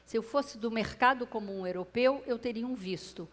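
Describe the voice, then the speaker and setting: A woman's voice: on the high side, normal speed. A Brazilian woman with a Brazilian Portuguese accent, telling a personal story to a live audience on stage through a clip-on mic, addressing the listeners directly. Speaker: speaker at a public talk. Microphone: clip-on microphone.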